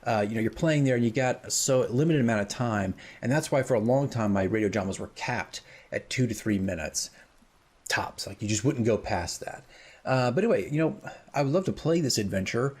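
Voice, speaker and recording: A man with a husky, medium-pitched voice talks as a casual host. His commentary rambles along informally, with a self-deprecating manner. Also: brisk, darkish tone. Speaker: host, dungeon master, radio drama creator.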